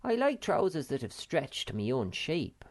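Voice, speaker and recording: A woman voicing a wistful, unhurried male wanderer who speaks in a slow, reflective, homespun way. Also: low-pitched, big pitch swings, a little mellow, pitched above the performer's own voice.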